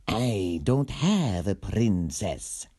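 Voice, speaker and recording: Man doing a gentle storybook villain, drawn-out words, unhurried, mild-mannered. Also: on the low side, very animated, dry recording, studio quality, exaggerated voice. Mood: matter-of-fact. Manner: slow, drawn-out delivery.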